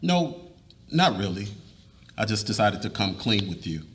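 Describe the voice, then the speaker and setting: Man playing an earnest, animated middle-aged husband. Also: on the low side, unhurried, a little hiss or hum, exaggerated voice. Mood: hesitant, earnest. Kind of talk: live dramatic reading.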